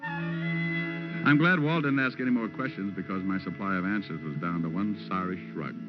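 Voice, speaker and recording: An actor playing a hard-boiled private detective with an American accent, narrating his case with wry, deadpan wisecracks in an old radio drama. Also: on the low side, moderate pace, close to an everyday voice. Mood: easygoing, dry. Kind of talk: 1940s-50s radio detective drama acting. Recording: dull old radio recording with music.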